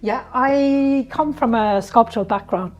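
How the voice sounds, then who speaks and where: A woman's voice: fairly high pitch, muffled recording. Danish-born middle-aged woman chatting in her workshop, stops and restarts a lot, faint music behind. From Denmark. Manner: halting, many restarts and fillers. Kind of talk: casual interview.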